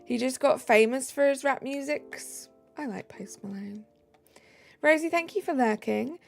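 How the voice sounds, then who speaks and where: A woman's voice: sits high, measured pace, vocal fry. A woman from the south of England with a southern English accent, live streaming and chatting casually with viewers, reading out comments between fillers. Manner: chatty, reads out and answers chat, trailing fillers. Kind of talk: casual chat, answering viewer comments while gaming. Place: home room. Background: faint background music.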